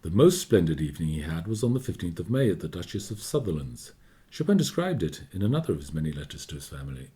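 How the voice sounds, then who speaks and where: A man playing a confiding, measured storyteller narrating history in a scripted radio play. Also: sits low, big pitch swings, mellow. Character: discreetly gossiping male narrator recounting a composer's history. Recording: studio radio play with music behind. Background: music.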